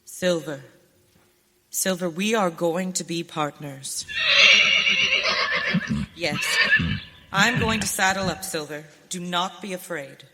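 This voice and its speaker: A woman's voice: on the low side, slow pace, long pauses. A woman playing a brave, determined masked western hero in radio theater, speaking in an earnest, commanding way. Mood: coaxing, determined. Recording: hall reverb, background noise, clicks.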